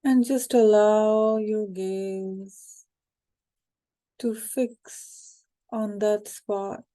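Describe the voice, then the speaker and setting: A woman guiding a hypnosis meditation in a calm, unhurried, soothing way, with short phrases and pauses. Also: medium pitch. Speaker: hypnosis guide.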